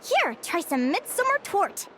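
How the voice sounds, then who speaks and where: A woman's voice: very high-pitched, big pitch swings, a little slow, very noisy, heavily acted. An actress playing a cheerful, curious young female guild advisor in a video game, chatty and eager, with music behind her. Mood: gushing, excited.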